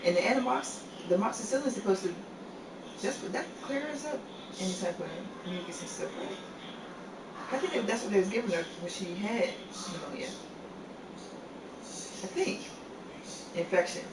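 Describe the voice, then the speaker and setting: A man hosting a live game stream, chatting casually and laughing a lot in a laid-back way, sentences trailing off. He has a deep voice. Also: lively intonation, moderate pace, pauses often. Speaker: livestream host. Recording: echoey room, far from mic, noisy.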